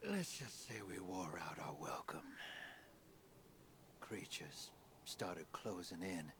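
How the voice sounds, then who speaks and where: Weathered game survivor: wry, brooding, folksy, very slow delivery, gravel in the throat. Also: male voice, low-pitched, noisy background, exaggerated voice. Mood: grim, haunted. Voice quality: gravelly, hushed at times. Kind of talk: video game cutscene acting.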